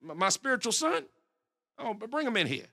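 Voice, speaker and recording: A man's voice: very high voice, big pitch swings, fairly quick, vocal fry, pushed and bright, exaggerated voice. An actor playing a stern, easily riled middle-aged pastor and father who scolds in an exasperated, blustering way. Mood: outraged.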